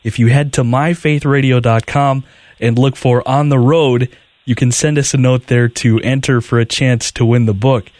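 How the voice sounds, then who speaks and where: A man's voice: mid-range voice, steady tone, normal speed, a little mellow, dry recording. A man hosting a radio interview, conversational, guiding the guest with short questions and affirmations. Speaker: radio host.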